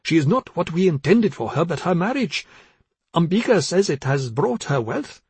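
Guy doing old confused mum in an audiobook, high husky voice, rambly n fretful, kinda pleading. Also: very animated, moderate pace, darkish tone, no room sound, heavily acted. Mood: apologetic. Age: older. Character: frail, confused elderly mother, pleading and rambling.

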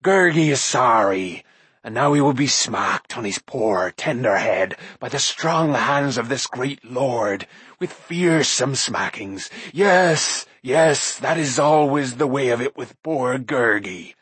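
A grovelling, pitiful half-beast creature, put on by a male narrator whines and wheedles for food. The voice is slightly husky and close, with no room sound. It is a storybook creature with a sly, self-pitying streak. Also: mid-range voice, lively intonation, slow pace, fairly bright tone, dry recording, exaggerated voice. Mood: wailing.

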